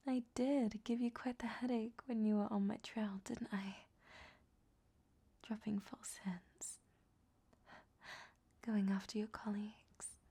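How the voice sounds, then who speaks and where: A woman playing a flirtatious, cunning ancient vampire in a roleplay audio drama, teasing and sardonic in a soft, breathy half-whisper. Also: medium pitch, little pitch movement, takes it slowly, long pauses. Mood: playful, flirtatious.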